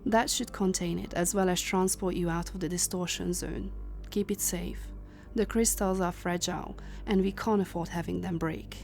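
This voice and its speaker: Woman playing a mellow, low-voiced commanding leader. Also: fairly even intonation, vocal fry, faint music behind. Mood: instructing, calm. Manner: measured, formal, commanding. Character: composed, authoritative female leader of secret time-travel organization. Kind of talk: cinematic audio roleplay acting.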